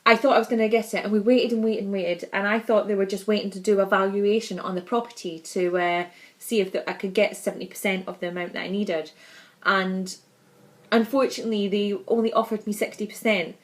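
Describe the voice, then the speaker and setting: A woman's voice: mid-range voice, fairly even intonation, brisk. A young woman with a Scottish accent, chatting casually and bubbly to camera in her vlog, laughing often. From Scotland. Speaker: vlogger.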